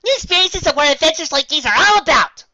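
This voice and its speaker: Squeaky, bouncy young cartoon pony girl. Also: lively intonation, fast, sharp tone, dull audio, full character voice. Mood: bubbly, cheerful. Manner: bouncy, exclamatory, chatty. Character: bubbly, cheerful young female cartoon pony.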